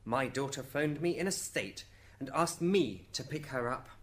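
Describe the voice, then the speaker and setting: A man's voice: medium pitch, lively intonation, moderate pace, close to an everyday voice. A man playing an aggrieved dead husband giving witness testimony in an amateur radio drama. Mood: stiff, aggrieved.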